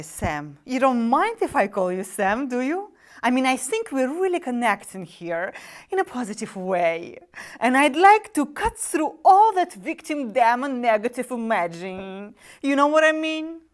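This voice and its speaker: Demon soul broker, played by a woman: slow, swooping delivery, slick and mocking, theatrical show-business patter. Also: a little high, very animated. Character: smooth-talking, wisecracking demon sub-agent dealing in damned souls. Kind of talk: dramatic script reading.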